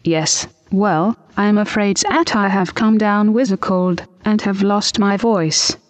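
A woman's voice: mid-range voice, slow pace. A synthetic computer voice playing a prim, easily offended French noblewoman with a formal, stilted delivery. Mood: polite. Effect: computer text-to-speech voice.

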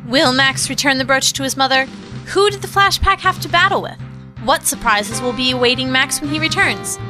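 A woman's voice: high voice, lively intonation, moderate pace, music in the background. A woman announcer narrating a dramatic teaser for an audio serial.

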